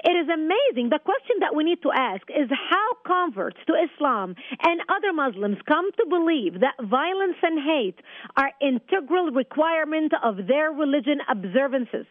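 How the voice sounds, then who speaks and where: High-voiced woman on a tinny phone line. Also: big pitch swings, normal speed, no echo. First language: Arabic. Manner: insistent, forceful argument. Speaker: guest. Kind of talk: phone-in interview.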